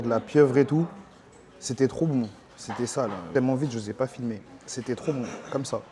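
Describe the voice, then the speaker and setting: A young man from France vlogging his walk through busy city streets, talking to his viewers in excitable, slangy speech. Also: medium pitch. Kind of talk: casual travel vlog talk. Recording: music mixed under the voice.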